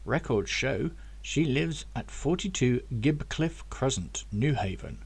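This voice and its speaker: A man's voice: mid-range voice, expressive, takes it slowly, creaky, slightly rough, heavily acted. A man voicing a polite, formal hologram professor, a computer assistant in an audiobook. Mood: polite, matter-of-fact.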